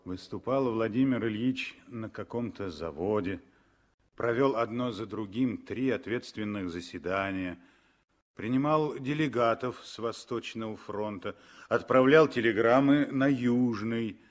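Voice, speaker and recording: A man narrating a 1960s radio drama with dramatic, deliberately phrased storytelling. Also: lowish voice.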